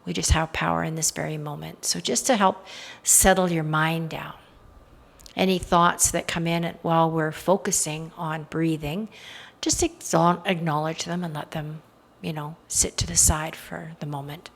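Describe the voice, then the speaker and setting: A woman leading a guided meditation in a soothing, hypnotic style, with soft trailing phrase endings. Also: low-pitched, steady tone, normal speed, gravelly.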